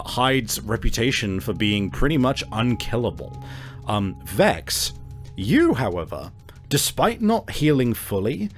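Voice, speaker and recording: A male game master with a lively, swinging pitch explains and narrates a tabletop game. His delivery is informal and conversational, and faint music plays under his voice.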